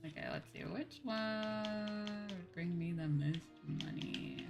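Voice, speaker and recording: A woman livestreamer chatting casually while she plays, sometimes musing aloud in a drawn-out, sing-song way. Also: mid-range voice, creaky. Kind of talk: casual game-stream chat. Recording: music behind. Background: game music.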